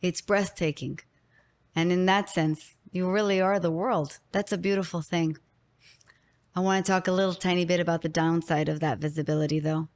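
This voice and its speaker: A woman's voice: fairly low pitch, little pitch movement, moderate pace, a little mellow. A woman with an Israeli accent hosting an interview podcast, talking in an emphatic, effusive way. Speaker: podcast host. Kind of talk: interview conversation.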